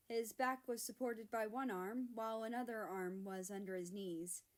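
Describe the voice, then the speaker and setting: A woman reads a story aloud. Her voice sits at a middle pitch, and she delivers the prose as an amateur storyteller would when sharing written fiction. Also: a little mellow. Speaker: narrator reading own fan fiction aloud.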